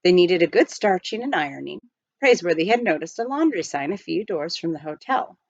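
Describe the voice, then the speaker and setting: A woman reading a children's novel aloud in an expressive storybook narration style. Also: on the low side, fairly quick, no room sound, dull audio.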